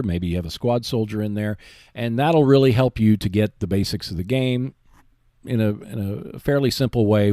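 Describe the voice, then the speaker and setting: Male gaming podcaster, fairly deep, conversational explainer, very dry recording with no room sound. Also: dark tone, no echo. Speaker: podcast host. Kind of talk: solo gaming podcast, explanatory talk with tips.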